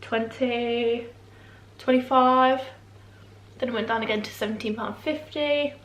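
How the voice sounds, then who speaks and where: Young woman, vlogger, higher voice, slightly nasal from a cold, rambling chat, echoey room. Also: measured pace. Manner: rambling, self-deprecating, lots of fillers. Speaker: beauty vlogger. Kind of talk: casual chatty vlog. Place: room at home, indoors.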